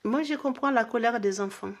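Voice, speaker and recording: A woman's voice: fairly high pitch, fairly quick, over loud music. An older woman, a mother and grandmother, speaking earnestly in an interview. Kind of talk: interview testimony.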